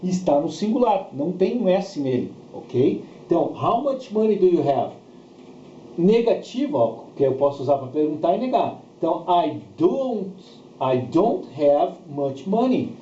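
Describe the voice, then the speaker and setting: A man's voice: a little high, very animated, measured pace, dark tone. A man with a Brazilian accent teaching a bilingual grammar lesson, explaining didactically with repetition and short check questions, in a dull, roomy recording with faint noise. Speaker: English teacher.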